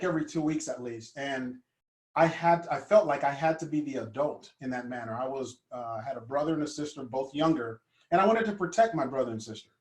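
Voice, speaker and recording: A man in his fifties from Honduras, a guest life coach telling his childhood story in an earnest, gentle way with many fillers. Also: mid-range voice, echoey room, rough recording. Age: 50s. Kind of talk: conversational storytelling.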